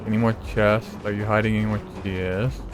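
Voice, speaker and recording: A man's voice: fairly low pitch, flat delivery, brisk, music in the background. A man giving casual, playful gameplay commentary, muttering reactions as he plays. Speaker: gameplay streamer.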